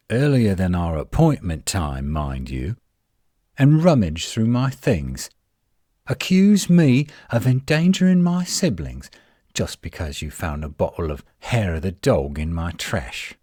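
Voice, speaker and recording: A man playing a defensive, flirtatious tsundere werewolf in a roleplay audio drama, indignant and sarcastic with a husky voice. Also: deep voice, wildly swooping pitch, slow pace, dark tone, dry recording, clean studio sound, full character voice.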